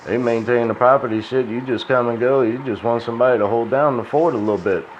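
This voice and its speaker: A man's voice: medium pitch, fairly even intonation, quick pace. A man rambling in a casual, profane, folksy monologue, sounding tired and dry-mouthed. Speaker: crypto video host. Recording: dull lo-fi sound with faint hiss.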